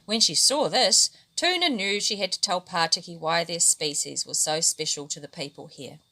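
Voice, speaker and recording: Woman reading a kids story out loud, bright voice, gentle storybook telling. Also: fairly low pitch, animated, moderate pace. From New Zealand. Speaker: storybook reader. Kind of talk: reading a children's story aloud.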